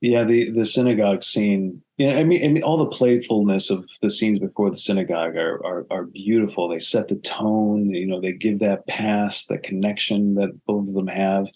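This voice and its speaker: Low-voiced, husky man in reflective conversation. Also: fairly even intonation, darkish tone. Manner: earnest, halting, restarting phrases. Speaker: actor, interview guest.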